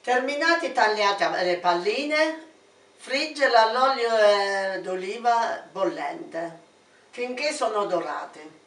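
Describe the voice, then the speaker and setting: A woman's voice: medium pitch, expressive, fairly bright tone. An older woman with an Abruzzo Italian accent, a home cook explaining a recipe step by step in her kitchen. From Italy. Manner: slow step-by-step instructions. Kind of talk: cooking demonstration. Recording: echoey kitchen, distant mic.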